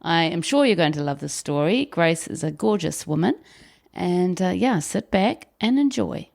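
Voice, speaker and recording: A woman's voice: lowish voice, expressive, moderate pace, creaky, darkish tone. A woman hosting a podcast, reading a scripted intro and then chatting in an encouraging, friendly way with her guest. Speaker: podcast host. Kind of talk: scripted intro then casual interview chat.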